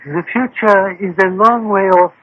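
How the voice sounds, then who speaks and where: An actor playing a grave, deferential fortune teller in a radio drama, speaking in a solemn, formal and cryptic way. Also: male voice, high voice, moderate pace, slight background noise, close to an everyday voice. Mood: solemn, mysterious. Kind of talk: 1970s-80s radio drama acting. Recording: dull, lo-fi old radio broadcast.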